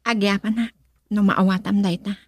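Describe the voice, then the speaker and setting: A female actor with a Filipino accent and a breathy voice, playing a guarded, dutiful young woman in a romantic radio drama. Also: mid-range voice, fairly even intonation, moderate pace, a little mellow, dry recording, natural voice. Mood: guarded, gentle.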